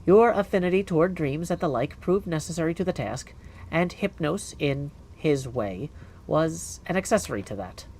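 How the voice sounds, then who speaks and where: A man's voice: on the high side, expressive, a little mellow, a little hiss or hum. Man putting on a stern, formal witch mentor. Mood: measured, instructive. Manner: measured, formal, archaic. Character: stern, composed older female witch mentor. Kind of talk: video game character acting.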